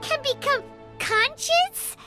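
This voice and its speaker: A woman voicing a small, excitable childlike fairy companion in a video game, squeaky, blunt and exclamatory. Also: slow, heavily acted. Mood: astonished. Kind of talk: cartoonish video game voice acting. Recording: music playing behind the voice.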